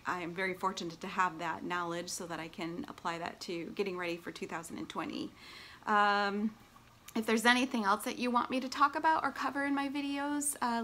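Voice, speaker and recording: A woman's voice: mid-range voice, a bit bright, slight background noise. A middle-aged woman giving a casual, chatty, upbeat vlog announcement straight to camera at home. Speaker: vlogger and long-distance hiker. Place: small furnished living room at home, indoors.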